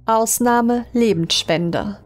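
A woman reading scripted horror stories as an audiobook narrator, in a measured, dramatic manner. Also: fairly high pitch. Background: music under the voice.

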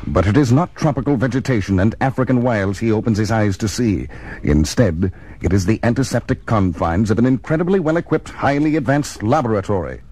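A man's voice: fairly low pitch, lively intonation, fairly quick, a little mellow, breathy edge, low-quality recording. A man narrating a 1970s children's audio comic in a portentous, theatrical scripted style. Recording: music bed under voice.

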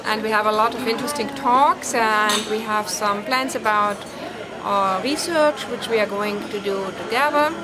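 A woman's voice: medium pitch, moderate pace, very noisy. A middle-aged woman speaking casually to camera as a conference attendee in a large lobby.

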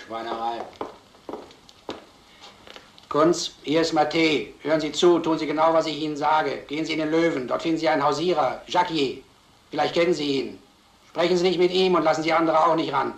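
An actor playing a brisk, authoritative police lieutenant in a crime drama, giving curt commands. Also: male voice, on the high side, vocal fry. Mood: brisk commanding. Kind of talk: German film crime drama acting. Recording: echoey old film soundtrack, noisy.

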